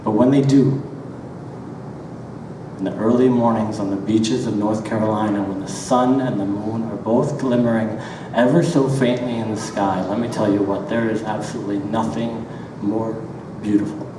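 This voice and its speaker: A man giving a dramatic, deliberate literary reading of first-person prose, with drawn-out pauses and rhythmic repetition. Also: lowish voice, steady tone. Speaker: author reading own work aloud. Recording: very echoey hall, distant mic, background noise.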